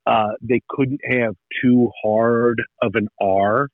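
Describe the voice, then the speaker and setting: A man's voice: mid-range voice, fairly even intonation, slow pace, dry recording. A man in his thirties chatting casually, rambling and nostalgic, often restarting his sentences. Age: 30s. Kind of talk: casual chat. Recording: tinny phone line.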